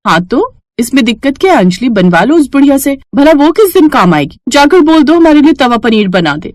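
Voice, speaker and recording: A woman voicing a rude, bossy young daughter-in-law in a cartoon story, loud and scornful. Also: sits high, sing-song, a little fast, no room sound, clean studio sound, voice pushed up. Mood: haughty, demanding.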